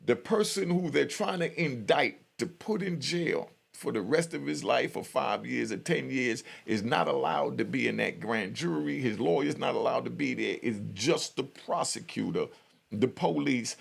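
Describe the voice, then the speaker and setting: A man's voice: mid-range voice, animated, moderate pace, vocal fry, breathy edge. A middle-aged man telling stories and giving commentary in a casual, slangy, streetwise way, often checking in with the listener. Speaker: YouTube storyteller and commentator. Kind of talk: casual spoken prison storytelling and commentary.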